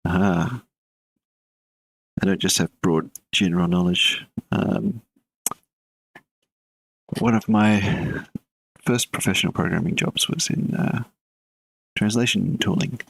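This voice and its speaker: Male game dev, live stream; deep, husky, rambling thinking-aloud delivery. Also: takes it slowly, long pauses, no echo, rough recording. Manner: relaxed, mutters while working, chats with viewers between code.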